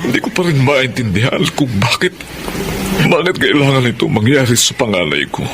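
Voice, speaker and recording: An actor with a Filipino accent playing a grieving, devoted middle-aged father in a radio drama, halting and emotional. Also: medium pitch, big pitch swings, background noise. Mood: anguished grief. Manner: slow, halting, emotional delivery. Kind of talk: Filipino radio drama acting with first-person narration. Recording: music behind the voice.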